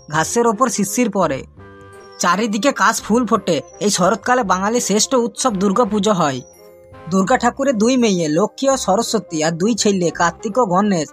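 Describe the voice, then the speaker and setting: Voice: medium pitch, fairly bright tone, close to an everyday voice. A performer voicing a cocky, mischievous schoolboy in a comic cartoon, boastful and recitational, with a Bengali accent.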